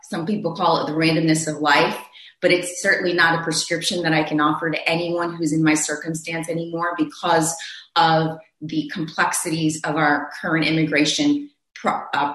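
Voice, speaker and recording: A man's voice: a little high, bright, forceful tone, echoey room, poor audio. A man speaking earnestly on a panel discussion, telling his own story, often restarting his phrases. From Zambia.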